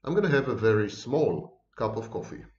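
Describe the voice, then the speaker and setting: A man's voice: medium pitch, big pitch swings, a little fast. Middle-aged man, ironic lecture tone, roomy dull webcam sound. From Israel. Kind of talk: monologue to camera. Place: home room.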